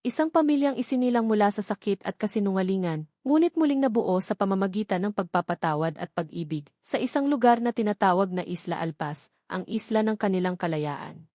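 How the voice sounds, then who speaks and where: A woman's voice: medium pitch, expressive, fairly quick, warm tone, no room sound. Woman narrating a sentimental story, dry sound. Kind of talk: scripted dramatic story narration.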